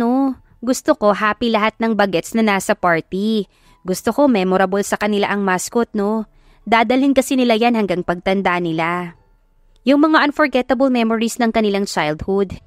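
A woman with a Filipino accent voicing a bubbly, energetic young mascot performer in an audio drama. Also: fairly high pitch, normal speed, no echo, studio quality, natural voice. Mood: cheerful. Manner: energetic, chirpy. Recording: background music under the voice.

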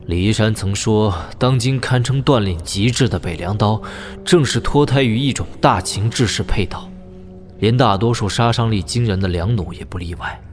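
A man's voice: fairly low pitch, moderate pace, soft background music, lightly acted. A man acting a thoughtful, wry young martial hero in a Chinese audio drama, given to sighing and musing. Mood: pensive musing.

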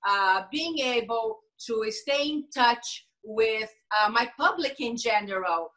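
A woman with a Brazilian Portuguese accent, a lawyer and coach, speaking carefully in an interview, with many pauses and fillers. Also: fairly high pitch, expressive, sharp tone. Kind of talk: interview over video call.

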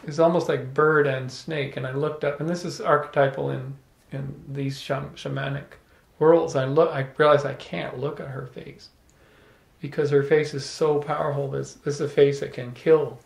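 A middle-aged man telling an animated, playful story to a small audience, holding a handheld microphone. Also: medium pitch, moderate pace, creaky. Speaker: salon speaker. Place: room with small live audience. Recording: echoey room.

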